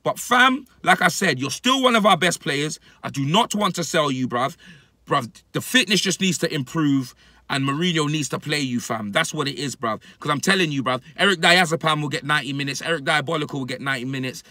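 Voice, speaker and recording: A man in his thirties with a London accent, giving an animated, emphatic fan rant to camera in heavy, repetitive street slang. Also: on the high side, a little fast, no echo. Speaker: football fan reacting to his club. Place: inside a parked car. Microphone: phone at arm's length.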